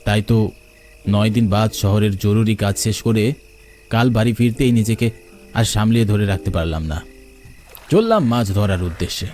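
A man's voice: lowish voice, normal speed, long pauses, a little mellow, soft background music. A man narrating a first-person horror story in a dramatic, suspenseful way.

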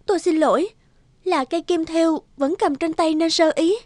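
A woman voicing a slim young peasant woman, guarded and earnest, in an animated moral tale. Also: extremely high pitch, moderate pace, dry recording. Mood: shy, hesitant.

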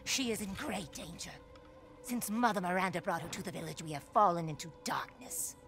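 A woman's voice: on the low side, brisk, a bit bright, soft background music. An actress playing a crazy old village woman in a video game, an ominous, fervent prophet of doom with a theatrical delivery. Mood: anguished, grave. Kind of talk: dramatic video game acting.

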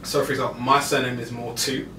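A man's voice: medium pitch, level tone, fast. A man, explaining his business steadily in a casual interview, with frequent "um"s. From UK, Ghanaian family. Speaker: co-founder of a tailoring brand, interview guest. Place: indoor wood-panelled lounge, seated on a sofa. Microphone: lapel mic. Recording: roomy, distant mic, noisy.

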